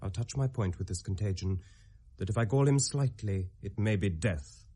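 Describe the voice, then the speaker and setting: A man's voice: fairly low pitch, moderate pace, warm tone, slightly rough. An actor with a British accent playing a hot-headed, vengeful young nobleman in a Shakespearean radio drama, in an intense, declamatory manner. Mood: vengeful resolve.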